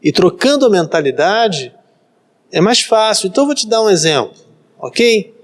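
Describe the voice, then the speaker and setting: A man's voice: high voice, sing-song, normal speed. A man in his thirties, a pastor from Brazil, preaching a sermon and repeating phrases for emphasis. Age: 30s.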